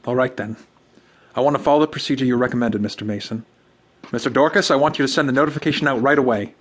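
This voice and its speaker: An American actor playing a nervous, touchy, obsessive client in a radio drama, agitated and insistent. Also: male voice, medium pitch, fast, close to an everyday voice.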